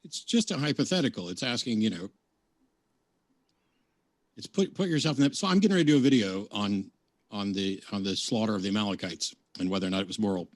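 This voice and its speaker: A man debating online, talking in halting bursts with frequent restarts while pushing back. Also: lowish voice, dry recording. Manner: halting, restarts, frustrated pushback.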